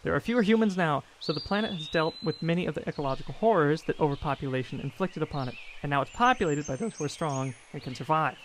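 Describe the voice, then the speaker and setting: An eager, earnest explainer played by a man rattles along in a slightly high voice in an audio drama. Faint outdoor birdsong sits behind him. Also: quick pace, few pauses, a little hiss or hum, lightly acted.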